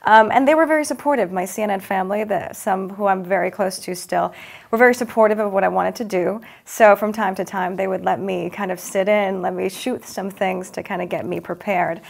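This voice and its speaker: A woman's voice: medium pitch, a little fast, gravelly. A young woman from Los Angeles whose first language is Farsi, a TV news anchor answering interview questions in an upbeat, chatty way with frequent ums.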